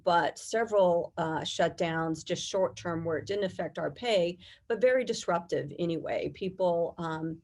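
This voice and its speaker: A middle-aged woman with an American accent, giving measured, detailed explanations in a conversational interview. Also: lowish voice, darkish tone. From United States. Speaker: guest, air traffic controllers' union executive.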